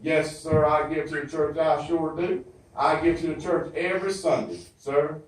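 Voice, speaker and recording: A man's voice: a little high, steady tone, moderate pace. Stammering Southern man in a very echoey hall. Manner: folksy, stammering, repeats himself. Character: flustered, excuse-making churchgoer on the phone. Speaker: church comedian. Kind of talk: comedy monologue, one side of a phone call. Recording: very echoey hall, distant microphone.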